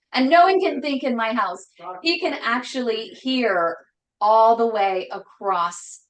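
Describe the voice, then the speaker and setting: A woman hosting a casual live cooking show from her home kitchen, talking loudly and emphatically with a voice that carries. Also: fairly high pitch, slow, roomy sound, poor audio. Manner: loud voice that carries, chatty and emphatic. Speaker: host cooking on a live stream.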